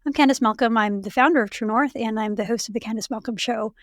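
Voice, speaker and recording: A woman in her thirties hosting a casual podcast chat, her voice raspy and hoarse from late pregnancy, talking in a chatty way with many fillers. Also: fairly high pitch, fast, no room sound, clean studio sound. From Canada. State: heavily pregnant, voice going hoarse. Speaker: podcast host.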